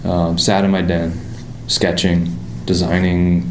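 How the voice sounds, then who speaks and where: A man with a Pittsburgh accent and a very low voice tells his story in a loose, rambling way, full of ums and restarts. The room is roomy and echoey, and a steady background noise sits under his voice. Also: takes it slowly. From Pittsburgh area, United States. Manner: relaxed storytelling with many fillers and restarts. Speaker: motorcycle designer, guest. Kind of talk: casual interview conversation.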